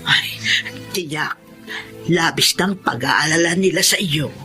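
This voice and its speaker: A woman's voice: deep voice, slow pace, bright, forceful tone, soft background music, heavily acted. An actress playing a kindly older village woman in a Filipino radio drama, fussing and exclaiming in a motherly way. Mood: caring, curious.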